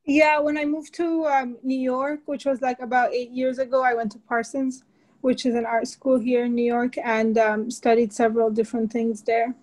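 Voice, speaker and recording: Female interview guest from Egypt, high-pitched and level, answering reflectively over a video call. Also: level tone, moderate pace, darkish tone, poor audio. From Cairo, Egypt. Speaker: guest, food artist. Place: indoors at home, on a video call. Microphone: computer or phone mic on a video call.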